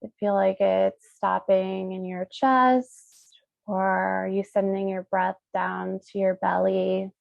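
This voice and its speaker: A woman leading a guided meditation as a Reiki practitioner, speaking soothingly in short, unhurried phrases with pauses. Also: mid-range voice, little pitch movement, creaky, dry recording, dull audio.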